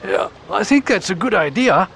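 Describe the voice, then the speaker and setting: A man's voice: sits high, animated, moderate pace, creaky, fairly bright tone, slight background noise, voice pushed up, full character voice. A man voicing a timid, grateful male tortoise in a read-aloud storybook, hesitant and stammering. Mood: eager. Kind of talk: storybook character voice.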